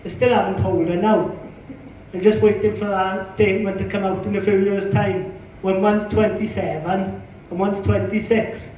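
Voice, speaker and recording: A man's voice: high-pitched, flat delivery, normal speed, darkish tone, slight background noise. A man with a Welsh accent doing a stand-up comedy set in a pub, punchy, sweary and self-mocking. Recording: echoey pub room, distant muffled mic.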